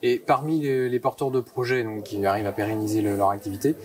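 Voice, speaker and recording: A man's voice: fairly low pitch, little pitch movement, fast talker. Guy with doing an interview, bit far from the mic, people chattering behind, feels out his questions. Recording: off-mic, crowd murmur behind.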